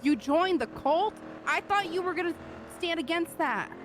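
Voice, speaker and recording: Woman, chatty game role-player, very lively intonation, clear car noise behind the voice. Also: extremely high pitch, normal speed. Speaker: role-play gamer voicing a car passenger. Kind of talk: improvised in-character casual chat.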